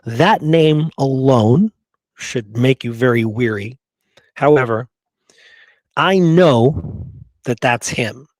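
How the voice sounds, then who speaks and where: A man's voice: mid-range voice, slow pace, long pauses, darkish tone, no echo. A man hosting a history show, talking through research casually and emphatically, repeating points for stress.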